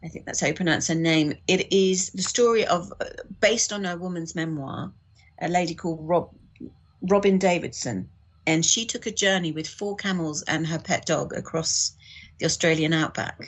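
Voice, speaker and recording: A woman's voice: lowish voice, moderate pace. A woman guest on a podcast, talking thoughtfully in a conversational way.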